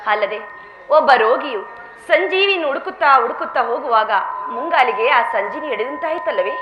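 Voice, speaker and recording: A woman's voice: high voice, animated, normal speed, background noise. A woman playing a proud, gracious goddess consort in formal, theatrical mythological drama. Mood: piqued, teasing. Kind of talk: declamatory mythological stage drama. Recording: dull, echoing recording with music behind.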